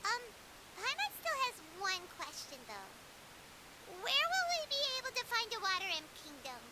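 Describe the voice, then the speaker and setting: A woman voicing a tiny, chirpy, childlike fairy companion in a video game, squeaky and exclamatory. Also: huge pitch swings, normal speed, heavy background noise, exaggerated voice. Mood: excited, curious. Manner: refers to self in third person, exclamatory. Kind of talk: animated video game cutscene acting.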